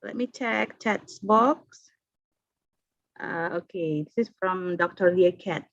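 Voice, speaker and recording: A woman's voice: fairly low pitch, slow pace, dry recording. A woman from Indonesia moderating an online conference, hesitant, with many fillers and restarts. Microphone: video call. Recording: dull video call audio.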